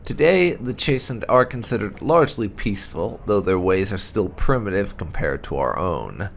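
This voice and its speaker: Guy reading game lore like a storybook, gravelly fry in the voice, measured, music playing behind. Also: lowish voice. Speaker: gaming channel host reading game lore aloud. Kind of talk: scripted lore narration, read aloud.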